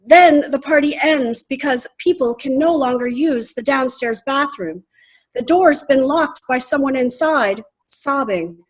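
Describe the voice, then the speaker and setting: Casting: actress for high-pitched, scornful know-it-all woman, deliberate and pointed, readers theater comedy. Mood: scornful, building. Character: critical, pedantic, dryly scornful woman at the table.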